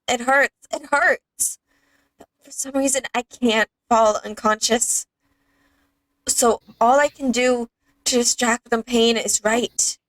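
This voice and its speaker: A woman's voice: on the high side, fairly bright tone, dry recording, rough recording. A young woman streaming a game, chatting casually and reading in-game text aloud.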